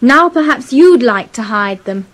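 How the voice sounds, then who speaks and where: An actress playing a brisk, concerned young British daughter in 1950s radio comedy. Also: female voice, a little high, animated, fairly bright tone. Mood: matter-of-fact. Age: young adult.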